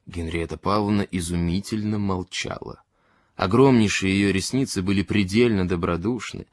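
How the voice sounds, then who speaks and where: Low-voiced man narrating a short story. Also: normal speed, no room sound, studio quality. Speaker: audiobook narrator.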